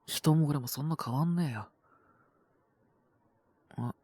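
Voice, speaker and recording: A man voicing a possessive, teasing young half-human wolf man with a cold, in an intimate romantic audio roleplay, breathy and rough-spoken. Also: a little high, unhurried, darkish tone, no echo. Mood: teasing, sulky. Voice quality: husky, breathy, often near a whisper. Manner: languid, rough casual speech, teasing. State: sick with a cold, coughing (acted).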